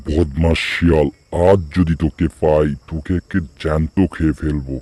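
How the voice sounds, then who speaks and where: A man's voice: a bass voice, steady tone, normal speed, dark tone, no room sound. A man voicing a foolish, hot-tempered tiger uncle in a cartoon fable, blustering in manner. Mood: furious. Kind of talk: animated fable character voice acting.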